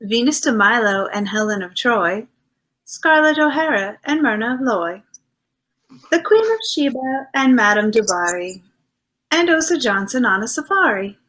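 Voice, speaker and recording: A woman's voice: fairly high pitch, very animated, normal speed, fairly bright tone, low-quality recording. A woman playing a teasing, affectionate detective's wife in 1940s radio acting style. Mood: teasing, romantic. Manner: playful, theatrical.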